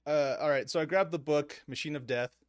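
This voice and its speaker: A man's voice: on the high side, fairly quick, smooth, no room sound, studio quality. A man hosting a casual podcast, often laughing at himself and stumbling over his words. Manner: laughing, self-deprecating, stumbling. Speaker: podcast host.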